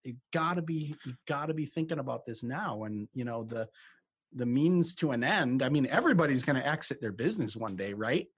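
A man hosting a business podcast, talking in a casual, animated way. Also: medium pitch, fairly quick, husky. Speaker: podcast host.

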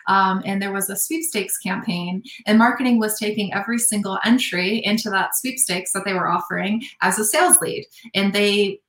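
A woman's voice: medium pitch, moderate pace, few pauses, a bit bright, echoey room. A young adult woman talking as a podcast guest, explaining her work thoughtfully with frequent fillers. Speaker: podcast guest, sales operations analyst. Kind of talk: casual interview talk.

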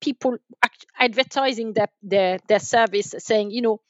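A woman's voice: on the high side, moderate pace, dry recording. Woman guest, slightly muffled dry sound. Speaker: guest, enterprise sales manager. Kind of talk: interview talk, explaining from experience.

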